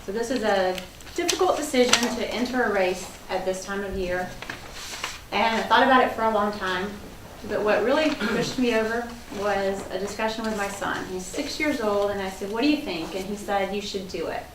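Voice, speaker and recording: A woman candidate gives an earnest, personal opening statement at a forum. She sounds set back from the mic in a roomy space. Steady background noise runs underneath. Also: medium pitch, moderate pace. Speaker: political candidate. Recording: roomy hall, distant mic, noisy.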